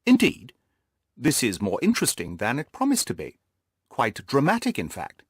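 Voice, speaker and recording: A man voicing a keen, brisk, amused gentleman detective in an audiobook, with a crisp, inquisitive manner. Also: mid-range voice, huge pitch swings, no room sound, studio quality. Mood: curious, intrigued. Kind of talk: audiobook character voice.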